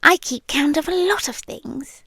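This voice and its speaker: A British woman playing an obsessive, possessive girlfriend in an intimate ASMR roleplay, softly menacing and teasing, sometimes slipping into a whisper. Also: high voice, no echo, clean studio sound, full character voice. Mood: teasing, exasperated. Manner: slow, teasing, softly menacing, with lingering pauses. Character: obsessive possessive yandere girlfriend, a menacingly affectionate voice in your head. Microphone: close microphone, dry sound.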